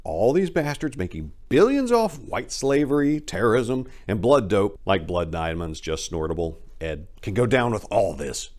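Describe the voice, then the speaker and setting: Man playing an impassioned, idealistic younger crime fighter, low-voiced. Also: sing-song, moderate pace, hardly any pauses, darkish tone. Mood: fired up. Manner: emphatic, speechifying.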